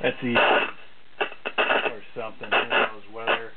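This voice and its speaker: A man's voice: fairly low pitch, flat delivery, takes it slowly. A man giving a casual, off-the-cuff demonstration as a seller of vintage radios, talking in a blunt, offhand way. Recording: tinny narrowband audio, loud radio static. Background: loud shortwave radio noise.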